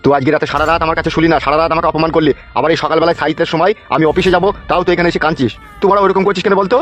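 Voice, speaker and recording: A man's voice: on the high side, steady tone, rapid-fire, soft background music, natural voice. A man voicing an exasperated, argumentative husband and father in a comedy cartoon. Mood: exasperated quarrelling. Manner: blustering, argumentative, swearing. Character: foul-mouthed, exasperated husband and father, blustering and defensive. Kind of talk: Bengali comedy cartoon dubbing.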